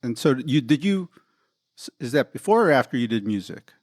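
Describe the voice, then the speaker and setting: A man's voice: mid-range voice, very animated, a little fast, darkish tone, dry recording, studio quality. A man hosting a podcast, enthusiastic and rambling, often restarting his sentences. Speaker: podcast host. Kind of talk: casual chat.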